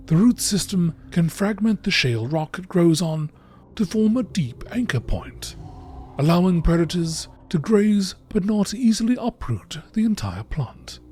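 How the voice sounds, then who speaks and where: A man reading scripted nature documentary narration aloud in a measured way. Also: fairly high pitch, a little mellow, a little hiss or hum.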